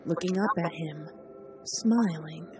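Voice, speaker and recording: Low female storybook narrator, measured and dramatic, set back from the mic with music behind. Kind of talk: audiobook fanfic reading. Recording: distant voice over loud music.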